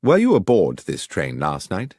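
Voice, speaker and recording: A commanding gentleman detective performed by a man from a mystery storybook, courteous yet brisk. His formal, precise speech rises and falls with great animation in a dry, close recording. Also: low-pitched, wildly swooping pitch, no room sound, exaggerated voice. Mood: courteous, probing.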